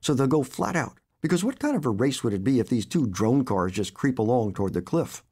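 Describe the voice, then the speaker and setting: A man, an author, reading his own short story aloud in an expressive, animated storytelling manner. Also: medium pitch, a little fast.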